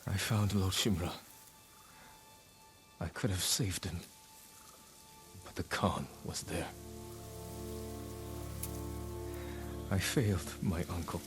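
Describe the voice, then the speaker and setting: An actor playing a grim, duty-bound young samurai in a video game drama, speaking with solemn restraint. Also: male voice, sits low, measured pace, pauses often, soft background music. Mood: guilty. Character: grim, grief-stricken young samurai, duty-bound, vowing to save his uncle.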